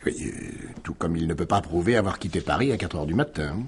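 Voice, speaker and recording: A man's voice: sits low, expressive, gravelly, husky, heavily acted. An actor playing a wily, smug police commissioner in a radio mystery, needling his suspect in a theatrical, insinuating manner. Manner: theatrical, insinuating, drawn-out phrasing with rhetorical tags. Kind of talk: 1950s French radio drama acting.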